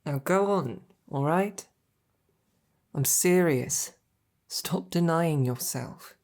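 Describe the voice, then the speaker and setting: A woman voicing a devoted, gentle female knight in an intimate ASMR roleplay, soft, breathy and tender, with hesitant pauses. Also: low voice. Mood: tender, encouraging.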